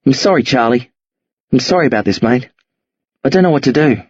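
A man doing a rough streetwise teen boy, husky, urgent, raised voice, dull dry sound. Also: medium pitch, lively intonation, fairly quick, dry recording. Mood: pleading. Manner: urgent, insistent, rough slang. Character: streetwise, blunt Australian teenage boy.

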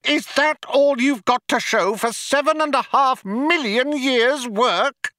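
An excitable male alien seeker for an audiobook. The voice leaps far up into a high, piercing yell, indignant and urgently exasperated. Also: slow pace, dry recording, studio quality, exaggerated voice. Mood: outraged.

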